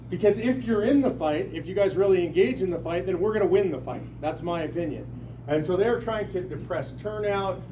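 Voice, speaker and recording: A man speaking up to a crowded town hall, his voice pitched a bit high and pushed out loud and folksy. The sound is roomy and picked up from well away from him, with steady hall noise behind it. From lives in Texas. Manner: loud, projecting, folksy and emphatic. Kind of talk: rousing public speech. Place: packed town hall room. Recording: echoey hall recorded from a distance.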